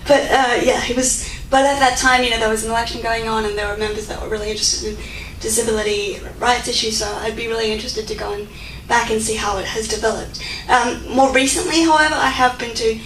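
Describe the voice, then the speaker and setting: A woman's voice: a little high, fairly even intonation, fast talker, fairly bright tone. Chatty young Australian woman, echoey room. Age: 20s. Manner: chatty, many fillers and restarts. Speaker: guest speaker. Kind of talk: audience Q&A answer. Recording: echoey room, mic far off, faint noise.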